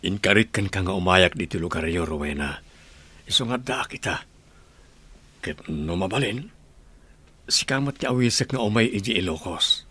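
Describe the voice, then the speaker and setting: A man playing an earnest, gentle father in an Ilocano audio drama, speaking with an Ilocano accent. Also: low-pitched, lively intonation, moderate pace, pauses often, breathy edge, exaggerated voice. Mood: warm, reassuring. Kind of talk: radio drama acting.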